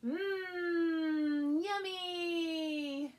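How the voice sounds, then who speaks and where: A middle-aged woman reads a picture book aloud to small children in an expressive, playful way, recorded indoors by a camera a short distance in front of her. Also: extremely high pitch. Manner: animated, slow, with playful sound words and exclamations. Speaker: storyteller and channel host. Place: small furnished room indoors.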